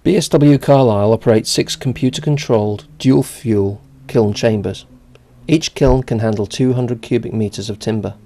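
A man reading a scripted narration for a company video in an even, measured way. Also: mid-range voice.

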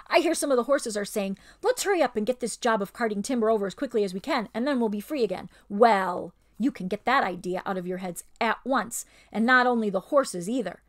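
A woman's voice: on the high side, animated, brisk, hardly any pauses, natural voice. A woman voicing a scheming, bullying male ape who snarls and lords it over the other animals in a storybook reading. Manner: snarling, domineering, sly.

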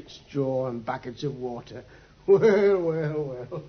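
An actor playing a smug, easily exasperated police inspector in a 1950s radio drama. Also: male voice, medium pitch, lively intonation, slow pace, slightly rough. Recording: dull, muffled 1950s radio recording.

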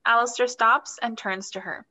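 A woman's voice: a little high, fairly even intonation, moderate pace, sharp tone. A young woman reading stage directions as scripted narration in a play reading.

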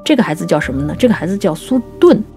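A woman co-hosting a poetry programme, explaining classical literature conversationally and slowing down to recite quotations. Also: lowish voice, big pitch swings, mellow, faint music behind. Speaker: writer and co-host of a poetry programme.

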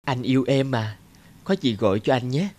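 An actor voicing a manipulative young ex-boyfriend in an animated drama, insinuating and coaxing with veiled threat. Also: male voice, medium pitch, normal speed, noisy background, close to an everyday voice. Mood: smug, threatening. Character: smug, manipulative blackmailing ex-boyfriend, young man.

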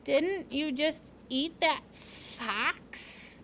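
A woman's voice: high-pitched, lively intonation, takes it slowly, a bit bright, full character voice. A woman voicing a timid, naive young female mouse in a children's storybook, hesitant and earnest. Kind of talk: children's storybook read-aloud.

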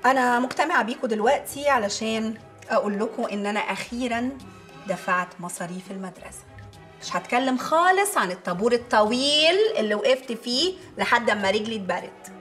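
A woman's voice: on the high side, animated, a little fast, a bit bright, soft background music. A woman playing an exasperated, scheming Egyptian mother and wife in a TV comedy-drama, with a dramatic, complaining manner. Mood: lecturing. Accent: Egyptian Arabic. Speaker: actress. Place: furnished home interior, film set.

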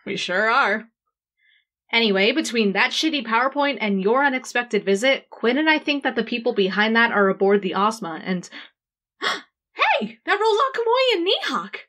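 A woman's voice: on the high side, very animated, moderate pace, sharp tone, dry recording, exaggerated voice. A woman playing a brash, sarcastic young woman posing as a planetary ruler in a science fiction audio drama, talking in breezy slang. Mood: excited, wry.

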